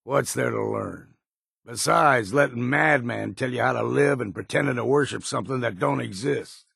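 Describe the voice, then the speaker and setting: Game role: grizzled old male sea hunter, heavy fry, husky, blunt and stubborn. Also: mid-range voice, fairly bright tone, no echo, studio quality, exaggerated voice. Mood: scornful, angry. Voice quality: gravelly, rasping. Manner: folksy, blunt, weathered. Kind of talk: video game character acting.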